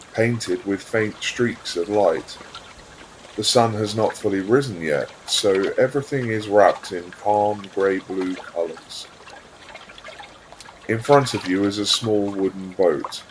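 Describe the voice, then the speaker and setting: A man with a very low voice reads a guided visualisation at a very slow pace. His delivery is calm and soothing, with room between phrases. Trickling water ambience runs clearly behind him. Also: a little hiss or hum, poor audio. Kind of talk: guided meditation, scripted narration.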